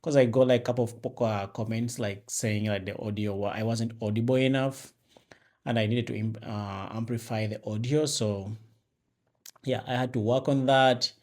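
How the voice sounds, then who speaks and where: A man's voice: mid-range voice, steady tone, moderate pace, a little mellow. Ugandan man chatting casually to camera. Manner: rambling, many fillers and restarts. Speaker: vlogger host. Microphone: external mic with phone camera.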